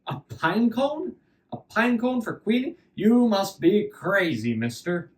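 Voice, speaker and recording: A man voicing an earnest, hesitant farm boy in a fairy tale, polite but quick to blurt out his doubts. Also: sits high, very animated, roomy sound, distant mic, pitched above the performer's own voice, exaggerated voice. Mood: indignant.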